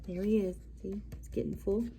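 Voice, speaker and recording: A woman teacher reading a story aloud, pausing to explain things simply for young listeners. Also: medium pitch, steady tone, vocal fry, dark tone, slight background noise, poor audio. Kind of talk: read-aloud storytelling with asides to children.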